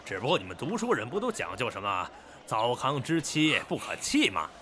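A man's voice: mid-range voice, very animated, moderate pace, a bit bright, a little hiss or hum. An actor playing a crude, foul-mouthed townsman who taunts and mocks in an audio drama. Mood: mocking. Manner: mocking, taunting, swearing.

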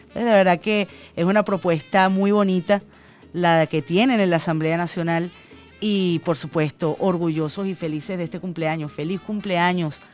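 A middle-aged Venezuelan woman hosting a radio show, reading news and chatting about her own trips with fillers. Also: lowish voice. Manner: chatty, personal anecdotes with fillers. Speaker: radio host. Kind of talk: radio news and call-in chat.

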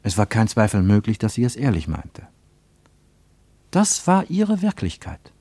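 An actor narrating a literary radio play as a grave, reflective storyteller in a measured manner. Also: male voice, on the low side, wildly swooping pitch, warm tone, slightly rough, no echo, full character voice. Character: grave, reflective male storyteller of a travel tale.

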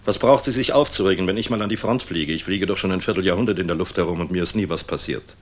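Deep, wry, world-weary general. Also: male voice, fast talker. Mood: tender. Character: weary, wry middle-aged air force general, fatherly, worldly. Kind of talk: 1960s German radio drama acting.

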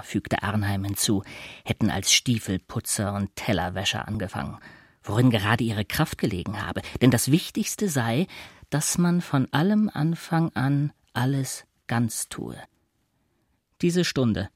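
A man's voice: mid-range voice, husky, no room sound, studio quality. A man reading scripted literary narration for a radio play.

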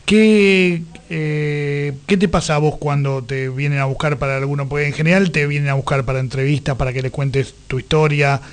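A man's voice: fairly high pitch, steady tone, moderate pace, few pauses, smooth. An Argentine man hosting a conversational radio interview. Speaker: radio show host.

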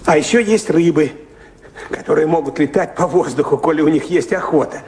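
A man's voice: fairly high pitch, brisk, a little mellow, lightly acted. An actor playing a talkative, deferential castle servant in a radio play, naive and awed, hesitant and rambling in his speech. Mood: wondering, excited. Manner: hesitant, rambling, servile, with frequent little fillers.

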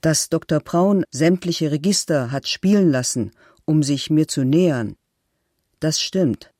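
A man with a fairly high voice reads a first-person statement aloud. The delivery is deliberate and declarative, recorded close and dry. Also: darkish tone, dry recording.

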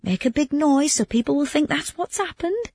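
Woman playing a sly prankster, lively swooping pitch. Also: normal speed, dry recording. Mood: sly, goading. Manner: cunning, goading, mock-doubting. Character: sly, mocking male prankster, egging on his victim.

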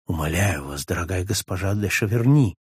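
A man voicing a suave gentleman friend who pleads tenderly with a touch of ironic melancholy, read for an audiobook. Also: medium pitch, animated, moderate pace, no room sound, clean studio sound, close to an everyday voice. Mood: tender pleading. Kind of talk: audiobook reading of a classic novel.

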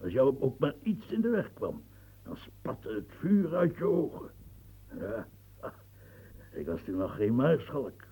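An embittered old marshal, gravelly, dark and mellow, stammering, radio drama. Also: male voice, medium pitch, very animated, measured pace, full character voice, low-quality recording. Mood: wistful, probing. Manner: stammering, repeats words when agitated. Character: aging embittered male French marshal, proud and restless. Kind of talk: radio play acting.

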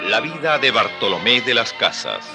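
A man's voice: medium pitch, very animated, moderate pace, loud music behind the voice. A man announcing a radio drama in a scripted, dramatic style.

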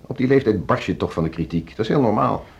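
An actor playing an ambitious, stubborn orchestra conductor in a naturalistic radio drama, brusque, self-assured and dismissive. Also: male voice, lowish voice, expressive, quick pace, creaky. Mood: dismissive, impatient. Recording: dull, muffled radio play recording.

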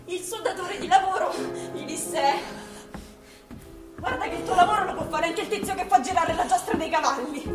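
An actress playing a storyteller who narrates a bittersweet fable in a theatrical fairy-tale style for radio drama. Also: female voice, extremely high pitch. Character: expressive female storyteller telling a bittersweet fable. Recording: roomy stage sound under loud music.

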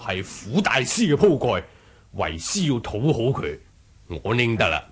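An actor playing a nervous, deferential martial-arts master with a hesitant manner in an audio drama. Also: male voice, medium pitch, very animated, measured pace, breathy edge. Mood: flustered, covering up. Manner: hesitant, flustered. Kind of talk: Cantonese audio drama acting.

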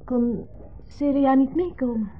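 A woman's voice: sits high, a little slow, soft background music. A female actor playing a composed wife caught between two men in a 1970s Dutch radio drama, restrained and clipped in her replies. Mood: polite, composed. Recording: muffled old 1970s radio recording.

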